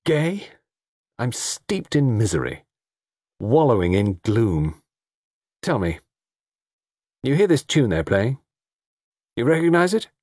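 A man voicing a flippant, lovesick young society gentleman with a theatrical, bantering, mock-gloomy way of talking. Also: medium pitch, very animated, takes it slowly, long pauses, no echo, clean studio sound, voice pushed up. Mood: mock misery.

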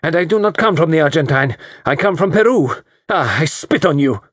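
A man voicing a hot-tempered South American woman, shrill and furious. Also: lively intonation, brisk, husky.

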